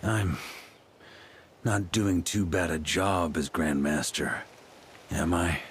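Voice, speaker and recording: An actor voicing a rugged, resolute veteran knight grandmaster in a video game. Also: male voice, sits low, fairly even intonation, slow pace, fairly bright tone, a little hiss or hum, heavily acted. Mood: solemn, tender.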